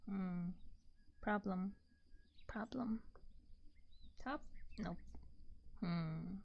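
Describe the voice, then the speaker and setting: A woman chatting casually on an ASMR stream in a soft, gentle, often whispery voice, muttering to herself and repeating little phrases, close on a binaural mic. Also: mid-range voice, very slow. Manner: rambling, muttering to self while fiddling with gear, repeats phrases. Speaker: livestreamer and artist. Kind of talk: casual ASMR livestream chat. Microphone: close binaural ASMR microphone. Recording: muffled audio, clear background noise and handling clicks.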